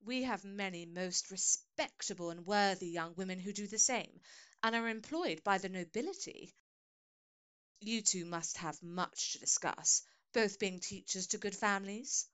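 A woman's voice: medium pitch, measured pace, a bit bright, clean tone, close to an everyday voice. An actress playing a haughty, condescending young woman in an audio drama. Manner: condescending, prim.

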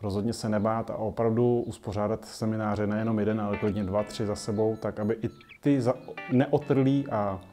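A man in his forties from the Czech Republic, talking unscripted to camera in a halting way with many hesitant pauses. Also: on the low side, fairly even intonation, dark tone, faint music behind. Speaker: children's group founder and carer. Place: small colourful indoor children's playroom.

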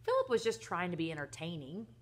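A woman voicing a gentle mother in a storybook, coaxing and placating as she defends her child. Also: fairly low pitch, wildly swooping pitch, quick pace.

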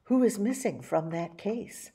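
A woman's voice: medium pitch, animated, a little slow, dark tone. Theatrical woman reading a spooky picture book. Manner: theatrical, with exclamations and spooky sound effects. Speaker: library story-time reader. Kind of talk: animated picture book storytelling.

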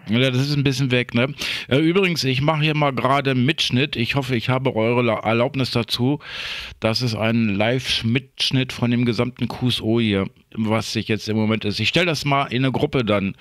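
A man's voice: mid-range voice, steady tone, normal speed, a bit bright, dry recording, clean studio sound. A man chatting casually as an amateur radio operator.

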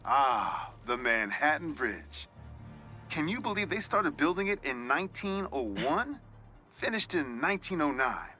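An actor playing a proud, warm newspaperman of mature years, folksy and wry, in a video game. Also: a little high, very animated, measured pace, soft background music, exaggerated voice. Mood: fond, proud. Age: middle-aged. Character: warm, proud older newspaperman who loves his city. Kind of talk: video game voice acting, spoken commentary.

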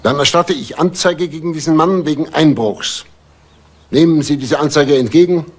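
An actor playing an indignant homeowner in a crime drama, speaking formally and haughtily with a slightly husky voice. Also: male voice, a little high, normal speed, natural voice. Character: indignant, well-off married male homeowner under questioning. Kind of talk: German TV crime drama acting.

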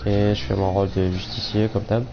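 Young man, stuffy with a cold, low gravelly voice, muffled mic, game noise behind. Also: brisk. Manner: relaxed, rambling, many fillers. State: has a cold, voice a bit off. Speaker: gaming streamer. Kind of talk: casual let's play commentary.

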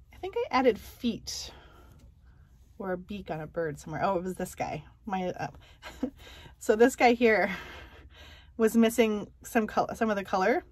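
Slow, softly chatty woman vlogger. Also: mid-range voice, no echo. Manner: soft, unhurried, many ums and pauses; light self-deprecating humor with small laughs. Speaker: cross-stitch vlogger. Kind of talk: casual show-and-tell chat.

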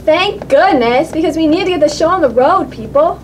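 A woman's voice: high voice, expressive, a little fast, a little hiss or hum. A woman voicing an eager, impatient, bossy little girl puppet in a children's show, pleading and dramatic. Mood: cheeky, eager.

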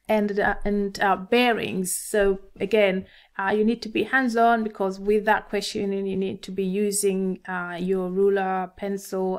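A middle-aged woman from Tanzania with a Tanzanian accent, talking to students as an encouraging maths teacher. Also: mid-range voice. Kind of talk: instructional talk to camera for students.

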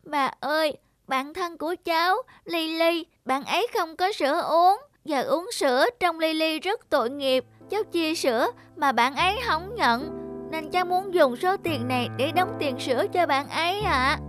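A woman's voice: sits high, moderate pace, a bit bright, faint music behind. A woman voicing a kind-hearted, earnest and polite little girl in a cartoon. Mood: sad, pleading. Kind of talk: cartoon voice acting.

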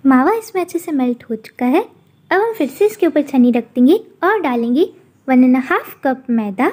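A woman hosting a cooking channel, calmly narrating a recipe step by step in a voice-over. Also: high-pitched, moderate pace. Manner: calm instructional narration.

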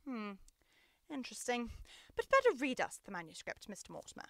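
A woman acts a shrewd, superior detective in a 1930s-style radio drama. The voice is bright and animated, brisk and commanding in manner, with no room sound. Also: fairly high pitch, no room sound, clean studio sound, full character voice. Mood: intrigued, commanding. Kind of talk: 1930s radio mystery acting.

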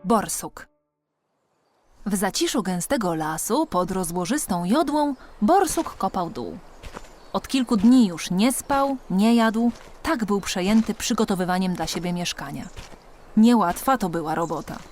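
A woman's voice: mid-range voice, animated, unhurried, darkish tone, a little hiss or hum. A woman reading a children's storybook as an audiobook narrator, expressively and with dramatic emphasis.

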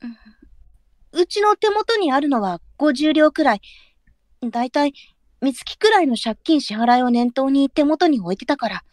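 A woman playing a hesitant, soft-spoken nineteen-year-old courtesan in a Japanese period audio drama. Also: high voice, lively intonation, moderate pace, no room sound, studio quality. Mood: hesitant, sad.

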